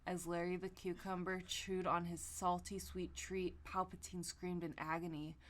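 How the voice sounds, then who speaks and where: Expressive woman reading fan fiction aloud. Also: lowish voice, moderate pace. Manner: expressive, foul-mouthed. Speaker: podcast host.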